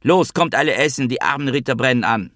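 A man voicing a loud, bitterly jealous older mother who scolds and accuses in emphatic shouts. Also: mid-range voice, animated, fast, dry recording, clean studio sound, voice pushed up, lightly acted. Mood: screeching, scolding.

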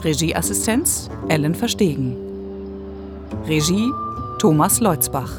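Casting: female radio drama announcer, formal, slow credits reading, lowish voice over clear music. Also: lively intonation.

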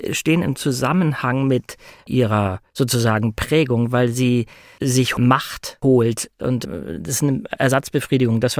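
A man's voice: mid-range voice, no echo, clean studio sound. A man as a guest commentator in conversational analysis, reflective, searching for phrasing and stressing key words.

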